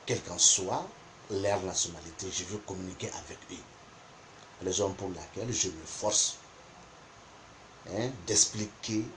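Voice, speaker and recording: A man whose first language is not French, teaching a spoken lesson on interpretation, deliberate and repeating points for emphasis. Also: sits low, a little hiss or hum, low-quality recording. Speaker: geomancy teacher.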